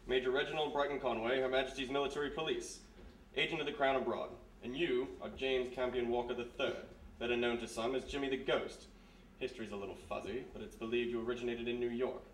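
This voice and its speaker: A polite, quietly menacing British officer played by a man, speaking in a formal, insinuating way. It is a radio mystery on a live stage, with the voice set back in an echoey room. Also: medium pitch, fairly even intonation, quick pace, close to an everyday voice. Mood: smug, formal. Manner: formal, clipped, smugly insinuating. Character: courteous, menacing British military police major. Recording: echoey live stage, distant, faint noise.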